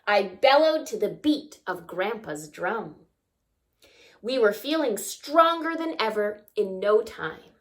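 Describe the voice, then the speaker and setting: A woman's voice: mid-range voice, takes it slowly. A woman reading a picture book aloud to young children, expressively, with asides and questions to the listeners. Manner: expressive, acts out sounds and asks the listeners questions. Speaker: story time reader.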